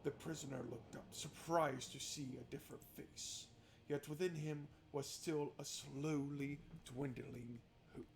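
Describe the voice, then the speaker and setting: A man's voice: fairly high pitch, steady tone, a little slow, vocal fry, a little hiss or hum, rough recording. A man hosting a visual novel playthrough, reading narration aloud and adding casual, wry asides. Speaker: gaming video host.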